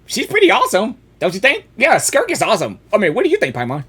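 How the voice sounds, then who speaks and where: A woman game streamer giving casual, excitable live reactions and reading dialogue aloud, often repeating herself. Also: fairly high pitch, fast talker, crowd noise.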